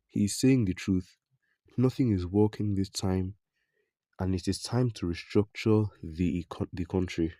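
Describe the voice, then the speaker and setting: A man narrating a news report in a scripted, even read, quoting an interview and reading out public comments. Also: sits low, dry recording, clean studio sound.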